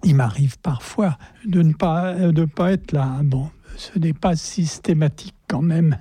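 A man's voice: on the high side, measured pace, warm tone, breathy edge, no echo, clean studio sound. An older man from a prayer group answering questions in a hesitant, modest way.

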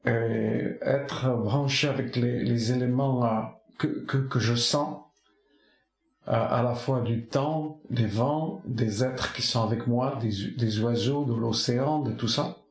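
A man from the Basque Country whose first language is Basque, talking slowly and poetically in an interview. He keeps restarting his phrases as he searches for images. His voice sits at an ordinary pitch and carries a roomy echo around it. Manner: soft-spoken, poetic, halting with many restarts. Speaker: artist interviewed. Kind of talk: reflective reminiscing interview.

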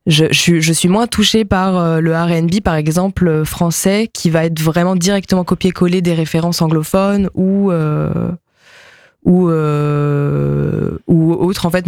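A woman from France, a musician guest, speaking in a casual interview, thoughtful and hesitant with many pauses and fillers. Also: low-pitched, steady tone, darkish tone, dry recording, clean studio sound.

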